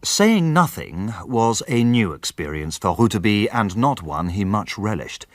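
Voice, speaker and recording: A man acting as a thoughtful lawyer who narrates a radio drama in a measured, gently wry way. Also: on the low side, very animated, no room sound. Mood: reflective, wry.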